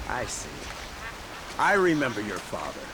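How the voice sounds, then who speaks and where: An actor playing a smug, sardonic, menacing middle-aged government lawman in a video game, mocking and condescending. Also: mid-range voice. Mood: wary amusement. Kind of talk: video game dramatic acting. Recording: noisy outdoor ambience behind.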